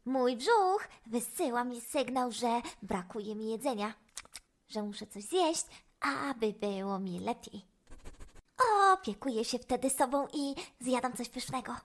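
A woman's voice: a little high, lively intonation, measured pace. A woman voicing a cheerful little mouse detective in a children's audio story, explaining things simply and chirpily. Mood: cheerful, reassuring. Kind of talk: children's audio fairy tale acting.

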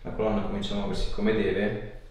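A man's voice: fairly low pitch, flat delivery, fairly quick, a little mellow. A man working as a chiropractor, giving short, reassuring instructions to a patient during hands-on treatment. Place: small clinic treatment room. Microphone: camera a few steps away. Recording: echoey room, distant mic, background noise.

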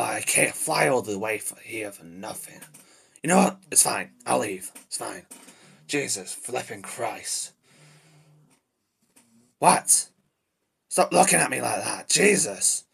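A man performs a short-tempered male demon devil in a homemade cartoon-style monologue. The voice is rough and raspy with a hard, forceful edge. He blurts and mutters, irritable and easily flustered. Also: medium pitch, exaggerated voice. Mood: exasperated, angry. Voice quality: raspy, gravelly. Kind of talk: amateur character voice acting, improvised monologue.

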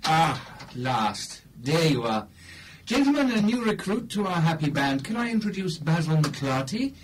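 A man's voice: on the high side, very animated, normal speed, background noise. An actor playing a genial, chatty male engineering chief who laughs often. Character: jovial male engineering chief welcoming a recruit. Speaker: radio engineer.

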